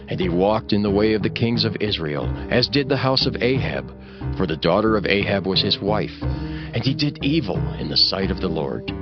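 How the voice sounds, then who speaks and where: Male narrator, low voice, solemn scripture storytelling, sweeping intonation, dull recording, music underneath. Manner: measured, formal, storytelling.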